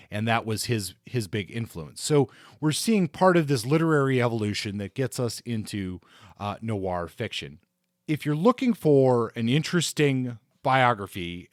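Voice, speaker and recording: A man's voice: on the low side, dry recording, studio quality. A man co-hosting a podcast, discussing books in an earnest, explanatory, conversational way. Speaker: podcast co-host.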